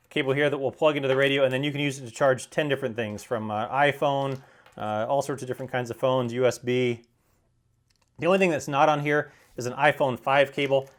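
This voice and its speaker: A man's voice: medium pitch, a little hiss or hum. Man explaining a product, plain-spoken. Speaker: product reviewer. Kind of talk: unscripted product demonstration.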